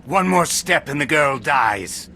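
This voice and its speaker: A hostile villain in a game cutscene. His fairly high male voice is scornful and full of threat, with a clear noisy rumble behind it. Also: expressive, slow pace, sharp tone, full character voice. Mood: menacing. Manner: menacing, scornful. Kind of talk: dramatic video game acting.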